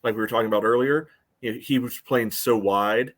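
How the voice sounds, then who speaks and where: An American man co-hosting a sports podcast, giving casual game analysis in a measured, conversational way. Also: mid-range voice, fairly even intonation, a bit bright. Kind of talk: casual soccer match analysis.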